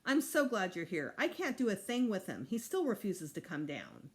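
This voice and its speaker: A woman's voice: mid-range voice, huge pitch swings, moderate pace. Prim, exasperated storybook schoolmistress, acted by a woman reader, emphatic and formal, swooping intonation. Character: exasperated, prim female kindergarten teacher.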